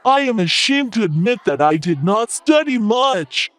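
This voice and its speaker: A man voicing a cocky, expressive young schoolboy in a children's cartoon story. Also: high-pitched, unhurried, no room sound, full character voice. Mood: remorseful. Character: Bob, cocky young schoolboy who loves video games.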